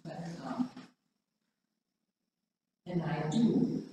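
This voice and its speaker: A woman who speaks Norwegian natively, sharing haltingly in a group discussion and searching for words. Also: lowish voice, dull audio. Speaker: participant in a prayer vigil. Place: large room at a spirituality center. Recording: echoey hall, distant mic, faint noise.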